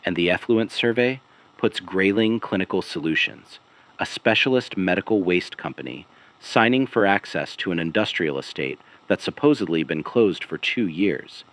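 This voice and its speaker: A man playing a weary, haunted investigative broadcaster, narrating a scripted audio drama gravely and deliberately, in clipped phrases with dramatic pauses. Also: deep voice, fairly bright tone. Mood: grave, measured. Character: weary, haunted male investigative broadcaster piecing together a conspiracy. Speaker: broadcast host and narrator of a fictional missing person investigation series.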